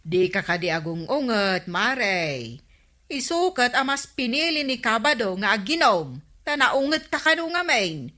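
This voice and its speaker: A woman's voice: a little high, big pitch swings, a little slow, pushed and bright, heavily acted. A woman playing a chatty, teasing village store woman in a comedy radio drama, full of questions, with an Ilocano accent. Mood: gossipy.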